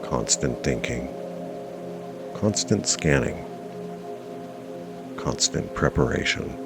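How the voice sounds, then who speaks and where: A man with an extremely deep, creaky voice guides a meditation over clearly audible music. He speaks slowly in short, measured phrases with long pauses, reassuring the listener as he goes. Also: expressive. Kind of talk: guided meditation narration, self-hypnosis.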